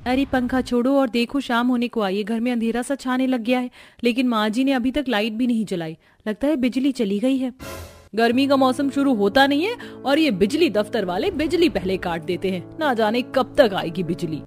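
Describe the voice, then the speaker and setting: Young housewife for family cartoon, voiced by a woman: fretful, expressive, raised pitch, music underneath. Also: normal speed, close to an everyday voice. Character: young daughter-in-law, fretful about household hardship. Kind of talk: Hindi family cartoon acting.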